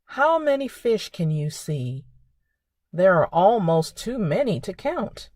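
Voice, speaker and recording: A woman hosting a preschool storytime, speaking in simple, expressive phrases for young children. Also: medium pitch, slow pace, no room sound. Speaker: children's librarian and storytime host. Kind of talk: preschool storytime reading and narration.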